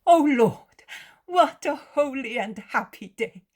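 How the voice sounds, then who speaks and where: A woman's voice: mid-range voice, steady tone, takes it slowly, warm tone, husky, pitched above the performer's own voice. A woman voicing a devout, anxious mother in a storybook, speaking in an imploring and effusive way. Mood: overjoyed.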